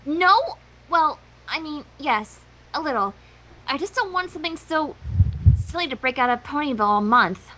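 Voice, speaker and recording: A woman's voice: sits high, big pitch swings, moderate pace, bright, forceful tone, a little hiss or hum, full character voice, rough recording. A woman voicing a prim, motherly young unicorn pony with a politely scolding manner in a fan fiction reading. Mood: sheepish. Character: motherly young adult female unicorn pony, prim, embarrassed, mock-villainous.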